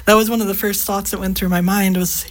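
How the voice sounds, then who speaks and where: A woman's voice: mid-range voice, level tone, fast talker. A woman, a reporter telling personal anecdotes as an interview guest in a conversational way.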